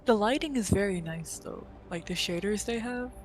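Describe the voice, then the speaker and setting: A woman's voice: medium pitch, moderate pace, a little hiss or hum. Woman chatting casually over gameplay. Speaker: co-commentator watching the game. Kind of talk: casual chat.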